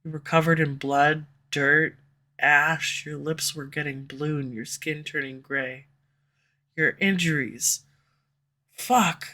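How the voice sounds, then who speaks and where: A woman's voice: low-pitched, steady tone, slow pace, pushed and bright, heavily acted. A woman playing a cursing tsundere villainess. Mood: somber, confessional. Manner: curses often, defensive and flustered when pressed. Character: proud, guarded tsundere female villain. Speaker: voice actor. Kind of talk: audio roleplay acting. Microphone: USB condenser microphone.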